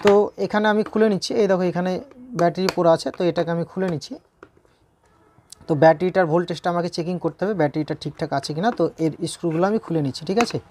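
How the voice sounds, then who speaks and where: A woman's voice: lowish voice, rapid-fire, darkish tone, slight background noise. A woman giving an informal hands-on repair tutorial, repeating points and often checking in with viewers. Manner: repetitive, checking in with viewers with tag questions.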